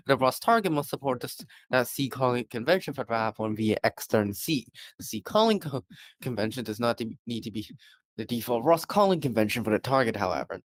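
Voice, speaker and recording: A man reading a technical policy document aloud, often stumbling over words and correcting himself. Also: mid-range voice. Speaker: reader.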